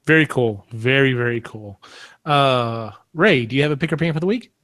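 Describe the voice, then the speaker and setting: Man, podcast host, medium pitch, dry close sound, casual chat. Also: moderate pace, a bit bright, no echo.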